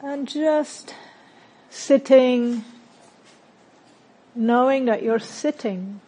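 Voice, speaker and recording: Slow, calm woman guiding meditation. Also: sits high, rough recording. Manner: calm, gentle, unhurried with long pauses. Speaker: meditation teacher. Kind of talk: guided meditation, body scan.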